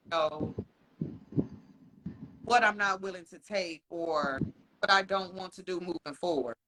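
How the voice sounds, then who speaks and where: A man co-hosting a podcast in casual chat. He talks frankly and swears a lot, laughs often, and slows down to stress his points. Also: sits high, sharp tone, low-quality recording. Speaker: podcast co-host.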